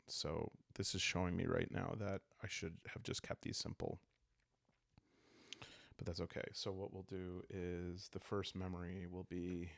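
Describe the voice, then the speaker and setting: A man with a low, gravelly voice talks through a solo roleplaying game in a calm, soft-spoken way. He muses and pauses as he makes up the story, in a very dry, close sound. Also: no room sound. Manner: soft-spoken, calm, musing, halting as he thinks aloud. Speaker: solo roleplayer and show host. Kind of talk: calm, improvised narration of a journaling game for relaxation.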